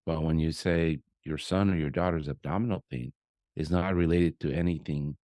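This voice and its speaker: A man with a very low voice, captured with hardly any room sound. He hosts a conversational interview and puts his points and questions in a pointed, sardonic manner. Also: fairly even intonation, normal speed, a little mellow, no room sound, studio quality. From born in Colombia, raised elsewhere. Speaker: podcast host, pediatrician.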